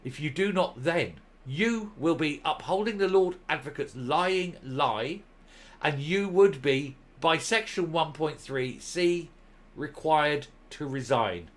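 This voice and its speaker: A male commentary host reading a document aloud and commenting on it, stressing key words with deliberate, emphatic phrasing. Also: a little high, animated.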